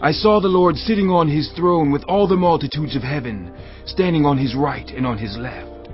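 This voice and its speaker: An actor playing a steadfast prophet in a dramatized audio Bible, declaiming solemnly. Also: male voice, fairly high pitch, normal speed, close to an everyday voice. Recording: dull lo-fi audio over music.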